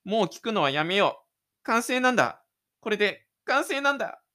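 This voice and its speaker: A man's voice: sits high, very animated, normal speed, bright, forceful tone, pitched above the performer's own voice, heavily acted. Man as shy teenage schoolboy, audio drama, self-persuading inner monologue, stubborn, brooding, clean tone. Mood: self-convincing.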